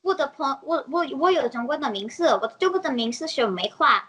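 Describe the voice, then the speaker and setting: A teenage girl from the United States talks in a fairly high voice, halting now and then as she hunts for the right word. She chats casually in an interview and giggles between phrases. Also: lively intonation, a bit bright. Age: teens. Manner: halting, searching for words, giggly. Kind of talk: casual multilingual chat.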